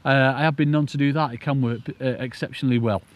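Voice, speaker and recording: A British man speaks in a medium-pitched voice, presenting like an angler showing viewers his setup. His delivery is informal and enthusiastic. He explains in a rambling, conversational way, as if chatting straight to camera. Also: fairly even intonation, fast, dry recording. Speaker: angling presenter demonstrating a rig. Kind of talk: casual instructional chat to camera.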